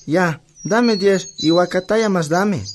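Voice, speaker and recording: A man's voice: high voice, slow pace, slight background noise. An actor playing a polite, friendly young male market customer with a Bolivian accent, talking casually.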